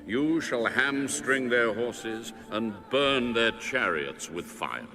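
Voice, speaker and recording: A man voicing God as a calm, commanding, solemn figure in a dramatised audio Bible. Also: mid-range voice, slow pace, background noise.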